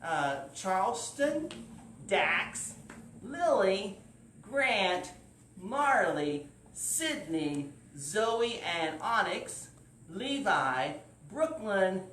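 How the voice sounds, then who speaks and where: A man's voice: high-pitched, very animated, very slow, pushed and bright. A man hosting a live children's story time, informal and drawing out words for young listeners. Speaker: library storyteller and host. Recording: roomy, distant mic, faint noise.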